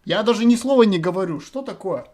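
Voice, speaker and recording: A man's voice: high-pitched, animated, fast, a little mellow. Rambling man from Russia chatting on camera. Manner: rambling, hesitant, lots of filler words. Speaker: video chat vlogger. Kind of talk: casual thinking aloud to viewers.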